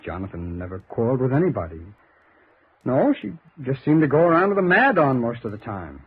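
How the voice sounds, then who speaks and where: Husky, defensive American man, vintage radio. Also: medium pitch, big pitch swings, brisk. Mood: guarded, evasive. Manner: hesitant, evasive, turning agitated. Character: defensive, aggrieved young man protecting his aunt. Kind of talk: 1950s radio drama acting. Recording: dull, lo-fi 1950s radio recording.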